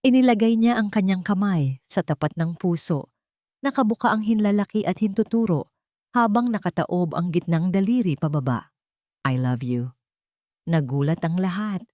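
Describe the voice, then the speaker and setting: A woman's voice: medium pitch, moderate pace, a little mellow, no room sound, clean studio sound. A woman reading a scripted romantic story as a dramatic narrator.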